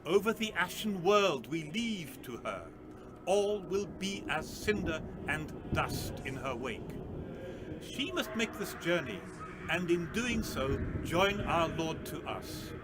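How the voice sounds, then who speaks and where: An actor in a radio drama playing a fanatical, sinister cult leader with a theatrical, unctuous, preaching manner. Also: male voice, a little high, sing-song, takes it slowly, music in the background. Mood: solemn fervour.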